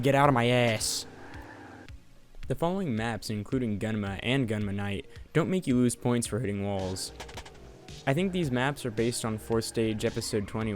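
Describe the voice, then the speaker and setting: Young dude narrating a game review, snarky and swears a lot, faint music under it. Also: male voice, mid-range voice. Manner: sarcastic, profane and joking. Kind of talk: casual voice-over game review.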